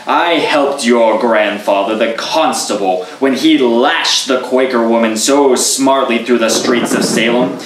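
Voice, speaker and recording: A man's voice: mid-range voice, fairly bright tone, roomy sound, distant mic, a little hiss or hum, natural voice, low-quality recording. A man voicing a sly, composed old male devil disguised as a traveler.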